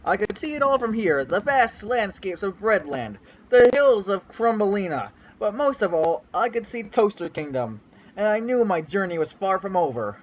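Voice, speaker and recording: A man's voice: high voice, big pitch swings, moderate pace, muffled recording. Young man doing a theatrical reading. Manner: theatrical, sweeping storyteller delivery. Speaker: reader on a livestream. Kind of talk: mock-dramatic reading of a fanfic.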